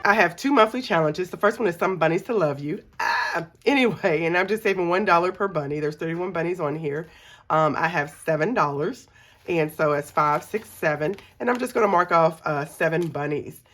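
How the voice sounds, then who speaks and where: Middle-aged woman, deep for her range, scratchy cold voice, chatty budgeting host. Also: brisk, fairly bright tone. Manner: chatty, many ums, counts money aloud. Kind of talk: casual chat while cash stuffing.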